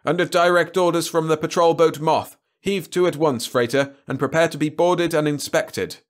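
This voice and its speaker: A stern male space patrol officer, played by a man reading a story. He speaks in a raised, insistent pitch, with a clipped official manner suited to barking orders at a freighter. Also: expressive, a little fast. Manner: commanding, official.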